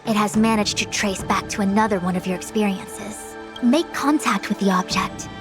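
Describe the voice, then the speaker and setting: A woman's voice: medium pitch, moderate pace, over music, lightly acted. An actress playing a composed, self-assured female sage in an anime-style game, speaking formally and precisely with authority. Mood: measured, explanatory.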